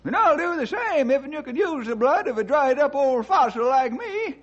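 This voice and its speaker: Shrill, excitable old-timer. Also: male voice, moderate pace, full character voice. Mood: folksy, self-mocking. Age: older. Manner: folksy, excitable, exclaiming. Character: excitable, self-mocking old-timer, folksy dried-up old fossil. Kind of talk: old-time radio acting. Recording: muffled old radio recording.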